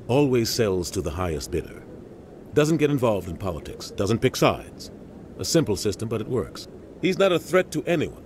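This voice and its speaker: A man's voice: medium pitch, darkish tone, lightly acted. A man playing a middle-aged navy captain in a video game, grave, embittered and emphatic. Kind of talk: video game cutscene acting. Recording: music behind game dialogue.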